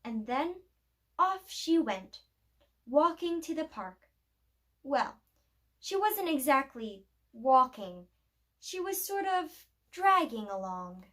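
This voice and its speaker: A woman storyteller reads a picture book aloud for young children. Her voice sits high, and she goes slowly. The phrasing is deliberate and expressive, with clear emphasis on key words. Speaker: library storyteller reading aloud.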